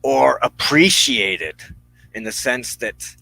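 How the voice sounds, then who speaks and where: A man hosting a personal spiritual talk, speaking in deliberate, halting phrases with firm emphasis. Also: medium pitch, gravelly, sharp tone, husky, poor audio. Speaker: host of a weekly witchcraft broadcast.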